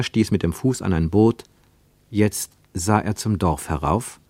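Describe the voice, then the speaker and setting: A man reads literary prose for radio as a narrator, with deliberate, emphatic phrasing and dramatic pauses. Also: on the low side, a little mellow, no room sound.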